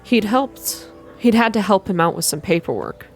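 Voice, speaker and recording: A woman reading a story aloud in an ASMR style, with expressive, deliberate first-person narration. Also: medium pitch. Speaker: reader of a visual novel. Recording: soft music behind the voice. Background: background music.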